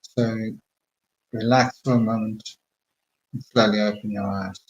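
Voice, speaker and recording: A man slowly talking listeners through a guided meditation with calm, murmured instructions. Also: lowish voice, muffled recording. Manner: slow, soothing, murmured instructions.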